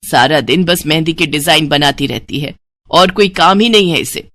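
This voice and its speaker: A woman's voice: low voice, moderate pace, a bit bright, no room sound, clean studio sound, pitched below the performer's own voice. A woman playing a grumbling, sarcastic middle-aged mother in an audio story. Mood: exasperated. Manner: scolding, sarcastic.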